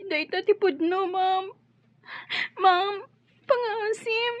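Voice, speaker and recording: A woman playing a frightened, abused young servant girl in a radio drama, pleading haltingly and crying. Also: very high-pitched, no echo. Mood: tearful, pleading. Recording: dull, dry studio sound.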